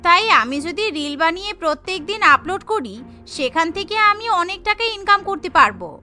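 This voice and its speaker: A woman acts a spoiled, boastful young bride in a cartoon. Her voice is extremely high and bright, with a haughty, showy delivery. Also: sing-song, brisk, faint music behind, full character voice. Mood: self-satisfied.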